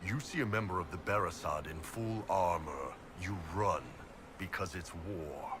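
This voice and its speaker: An actor playing a teasing, easygoing warrior in a fantasy game, with casual, dry banter. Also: male voice, low voice, a little slow, background noise.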